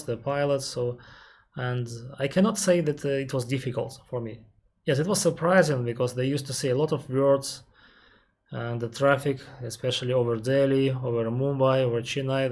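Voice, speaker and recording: A man with a Russian accent, an airline captain on a live stream, reading out viewer questions and answering them carefully, often pausing with "uh" and restarting his sentences. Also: medium pitch, darkish tone. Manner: careful, explanatory, pauses with frequent "uh" and restarts; reads viewer questions aloud, then answers at length.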